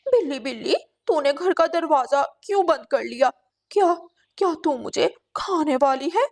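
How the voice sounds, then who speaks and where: A woman's voice: high-pitched, animated, normal speed, pitched above the performer's own voice. A woman voicing a greedy, trusting female crow in a children's cartoon story, with a naive and eager manner. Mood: nervous. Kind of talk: children's animated story dialogue.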